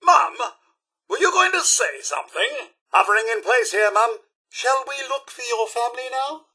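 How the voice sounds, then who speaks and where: A man's voice: extremely high pitch, lively intonation, normal speed, bright, forceful tone, breathy edge, heavily acted. A man with a British accent voicing a prim, fussy, loyal robot butler in a video game, theatrical and formally polite. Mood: polite, eager. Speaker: voice actor. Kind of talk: video game companion voice lines.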